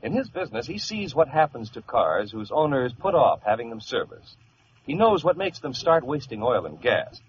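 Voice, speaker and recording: Animated 1940s male radio announcer. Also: medium pitch. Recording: muffled old radio, faint hiss.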